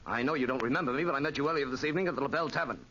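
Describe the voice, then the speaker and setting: A man playing an earnest Parisian police officer in a 1940s radio drama, hurried and formal. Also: mid-range voice, fairly bright tone, natural voice. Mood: urgent. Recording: muffled, noisy 1940s radio recording.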